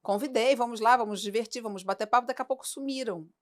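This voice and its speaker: A woman hosting a podcast, telling stories in a lively way and asking her guests questions. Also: on the high side, fast talker. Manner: lively storyteller, asks questions. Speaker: podcast host. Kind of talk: casual chat.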